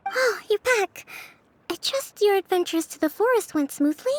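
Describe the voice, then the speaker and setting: A woman voicing a poised, courteous young priestess in a video game, with measured and formal speech. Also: very high voice, expressive, dry recording. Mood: composed, reflective. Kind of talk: scripted video game character dialogue. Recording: music behind the voice.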